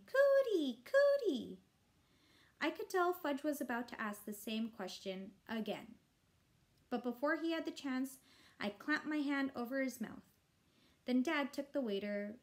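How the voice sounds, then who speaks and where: Woman reading a story, fairly high voice. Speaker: teacher reading aloud. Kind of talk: expressive children's story narration.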